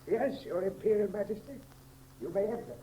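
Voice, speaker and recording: An actor playing a ceremonious, deferential imperial attendant with clipped, formal announcements in a 1930s radio serial. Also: male voice, high-pitched, moderate pace, lightly acted. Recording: noisy, crackly 1930s radio recording.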